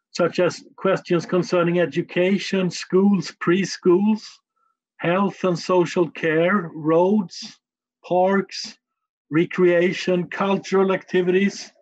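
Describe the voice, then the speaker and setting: Stockholm-accented man with a slightly high voice. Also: lo-fi sound. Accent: Stockholm Swedish. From Sweden. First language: Swedish. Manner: measured, plain-spoken, small slips in English. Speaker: guest, communications manager. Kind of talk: podcast interview answers.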